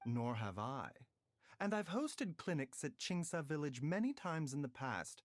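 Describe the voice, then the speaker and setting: A man voicing a gentle, composed young male doctor in a video game, with polite, measured, formal phrasing. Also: on the high side, sing-song, no echo. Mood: calm, thoughtful. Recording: game music behind voice.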